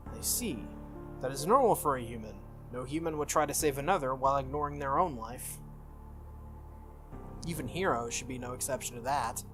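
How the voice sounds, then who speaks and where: A game player voicing a composed, solemn young woman knight from a visual novel with formal, measured, blunt courtesy. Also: medium pitch, expressive, music in the background, natural voice.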